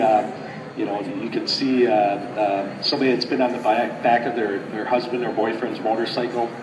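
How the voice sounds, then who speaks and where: A man with a slightly raspy voice from a cold explains a product in a halting way, full of pauses and restarts. He speaks at an ordinary pitch for a man and sits far from the mic. A long, echoey hall and loud crowd noise surround him. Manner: halting, many fillers and restarts. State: getting over a cold, a little raspy. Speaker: company product spokesman. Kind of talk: informal product presentation. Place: large indoor convention center show floor.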